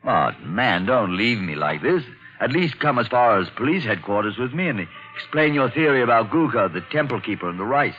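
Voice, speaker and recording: An actor playing an eager, talkative colonial man who hosts a visitor, in a 1940s radio drama, quick to jump to conclusions. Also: medium pitch, expressive, faint music behind, close to an everyday voice. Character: chatty, excitable colonial man, a keen host. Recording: dull lo-fi 1940s radio recording.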